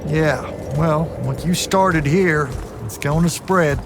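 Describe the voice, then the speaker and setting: An actor playing a salty, folksy veteran soldier in a video game cutscene, wry and gruffly fond in manner. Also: male voice, fairly high pitch, takes it slowly, over music. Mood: wry, musing.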